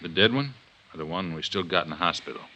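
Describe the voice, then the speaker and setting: A man playing a gruff, fatherly police inspector in a 1950s radio drama. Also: low voice, lively intonation, quick pace, bright, forceful tone, exaggerated voice, poor audio. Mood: subdued, consoling.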